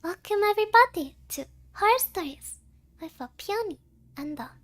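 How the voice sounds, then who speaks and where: A woman's voice: extremely high pitch, lively intonation, moderate pace. A woman with a girlish, cutesy voice hosting a playful ASMR horror roleplay, mixing Japanese words into her English. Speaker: VTuber host of an ASMR horror-story audio. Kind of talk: playful scripted ASMR roleplay with casual chat.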